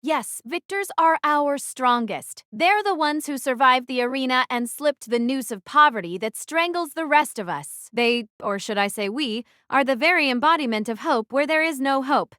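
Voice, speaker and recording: An actress plays a young woman who survived a deadly arena, telling her story in a high voice. She is introspective, troubled and defiant, hard on herself. The audio drama recording is close and dry. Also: expressive, normal speed, few pauses, sharp tone, no echo. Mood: bitter, grave. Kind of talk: first-person audio drama narration.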